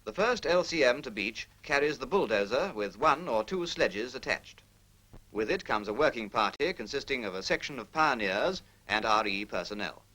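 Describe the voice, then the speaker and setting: A man's voice: medium pitch, expressive, moderate pace, bright, forceful tone, poor audio. A British man reading formal scripted narration in the manner of a 1940s military training film, precise, instructional and impersonal.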